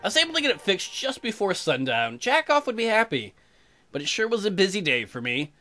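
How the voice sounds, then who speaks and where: A man's voice: sing-song, a little fast, sharp tone, exaggerated voice. A man voicing a young newlywed colonist wife, chirpy but testy, in a visual novel game. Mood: narrating, upbeat. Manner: high-pitched girlish put-on, narrating and bickering. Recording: music behind.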